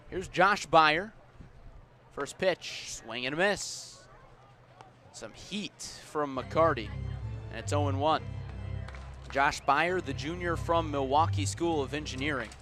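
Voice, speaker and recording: A male sports announcer doing live baseball radio commentary in a bright, forceful, slightly high voice. He phrases things in a measured way and leans into the plays. Faint outdoor ballpark noise sits behind him. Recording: outdoor ballpark ambience, steady background noise. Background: outdoor ambient noise.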